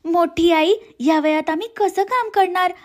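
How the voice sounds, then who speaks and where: Little orphan girl for a cartoon, adult female performer: very high, soft, childlike, timid and pleading. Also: a little slow. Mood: pleading, protesting. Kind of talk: cartoon moral story voice acting.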